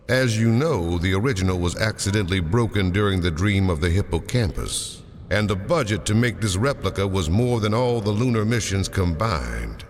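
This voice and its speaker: An actor playing a formal, principled lieutenant colonel who speaks earnestly. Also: male voice, low voice, big-room sound.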